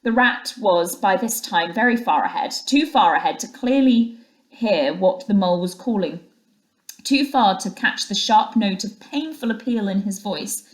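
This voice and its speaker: Woman, mid-pitched voice, expressive story narration, teacher reading aloud. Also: rough recording.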